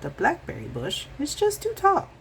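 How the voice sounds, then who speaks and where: A woman's voice: high voice, huge pitch swings, normal speed, vocal fry, a bit bright, a little hiss or hum, voice pushed up, exaggerated voice. A woman voicing a small, stubborn, kind-hearted storybook mouse with an earnest, emphatic manner. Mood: indignant, insistent.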